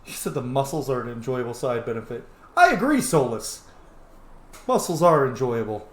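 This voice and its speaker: Man, game streamer, mid-pitched, very lively intonation, jokey, sweary, casual roleplay commentary. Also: normal speed. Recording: roomy, echoing sound.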